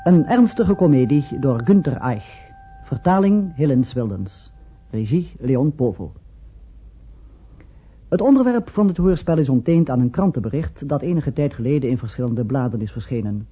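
A man giving a formal, deliberate scripted introduction as a radio play announcer. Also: mid-range voice, very animated, husky. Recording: muffled old radio recording.

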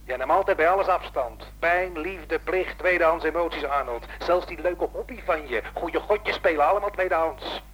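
A man's voice: a little high, moderate pace, a bit bright, lightly acted. A man acting in a radio play as a bitter, menacing caller who taunts and insists. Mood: sneering, bitter. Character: menacing, bitter man tormenting an old acquaintance by phone. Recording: noisy radio play recording, telephone-like.

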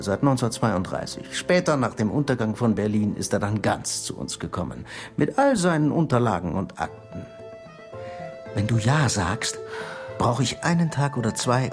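An actor playing a scheming Soviet secret police chief in a German radio drama, coaxing and menacing in an insinuating way. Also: male voice, lowish voice, sing-song, moderate pace, vocal fry, music in the background. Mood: conspiratorial.

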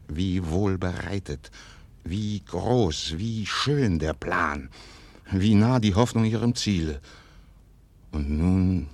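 Very deep, slow, stern aristocratic commander. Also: male voice, darkish tone, dry recording. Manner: grave, measured, declamatory. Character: stern, calculating, commanding aristocratic father and military governor. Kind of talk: 1940s German radio play acting.

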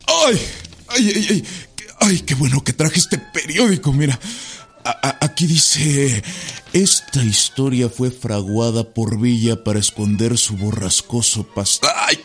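Comic radio sketch: crude groaning fellow, mid-pitched, strained, unhurried delivery, faint music. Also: male voice, fairly bright tone. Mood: straining, comic. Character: crude comic groaning man reading a newspaper.

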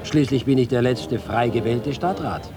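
Actor as low-key ageing returned exile, music behind. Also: male voice, normal speed, close to an everyday voice. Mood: proud, assured. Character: ageing male reporter returning from exile, nostalgic, proud. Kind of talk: radio feature dramatic monologue.

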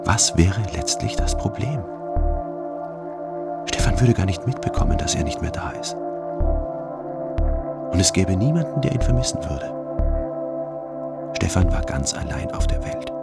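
A brooding first-person narrator with a very deep, gravelly voice. He tells his story in a sober, introspective way over clearly audible music, as in a radio play. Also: very animated, a little slow, long pauses, heavily acted. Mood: cold, brooding. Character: brooding, detached first-person male narrator, coldly ruminating.

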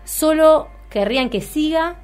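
A woman's voice: high-pitched, measured pace. An Argentine woman co-hosting a radio show, commenting casually and hesitantly as she searches for words. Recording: music bed under the voice. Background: music.

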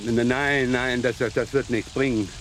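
A man's voice: mid-range voice, steady tone, normal speed, a bit bright, lightly acted. Crime radio drama: male actor as evasive, hesitant, over-polite man, mid-range voice, slight rainy background noise. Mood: flustered, defensive. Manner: stammering, hesitant, nervously polite. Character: flustered, evasive, deferential man disposing of a corpse. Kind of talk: German crime radio play acting.

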